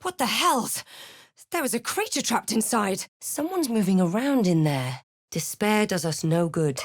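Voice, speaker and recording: Female RPG spellcaster, determined, snarky, theatrical, clipped barks, very expressive intonation, slow pace. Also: mid-range voice. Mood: alert, urgent. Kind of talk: video game voice lines and spell incantations.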